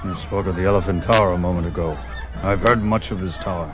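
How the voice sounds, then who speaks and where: An actor playing a tall, strong young barbarian, blunt and proud, in a fantasy audio drama. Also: male voice, low voice, steady tone, fast talker, loud music behind the voice, close to an everyday voice. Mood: curious, probing.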